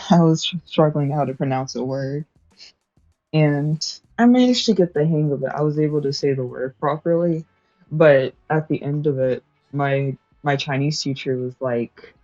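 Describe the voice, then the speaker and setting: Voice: medium pitch, unhurried, a little mellow, dry recording, highs cut off, soft background music. A young adult streamer chatting casually while studying, rambling, correcting themselves and laughing often.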